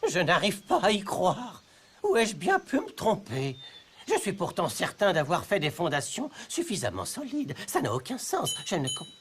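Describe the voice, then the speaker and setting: A woman voicing a self-satisfied young male pig in a children's cartoon, with a boastful, expressive manner. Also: fairly low pitch, moderate pace, a little hiss or hum. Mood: baffled. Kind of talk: animated children's cartoon acting. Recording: music behind the voice.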